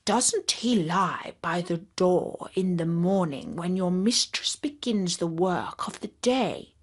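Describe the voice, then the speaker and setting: A woman voicing a wise, helpful male wild boar in a storybook tale, laying out advice in a measured way. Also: on the low side, expressive, husky, heavily acted. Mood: confident, scheming.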